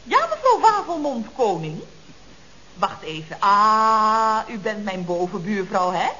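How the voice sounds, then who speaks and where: An actress playing a chatty, mock-innocent office secretary in a Dutch radio comedy, with a chirpy, expressive delivery. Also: female voice, mid-range voice, slow, exaggerated voice. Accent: Dutch from the Netherlands. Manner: chirpy, expressive, chatty with frequent tag questions. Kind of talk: 1970s radio comedy acting. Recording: dull, noisy old radio recording.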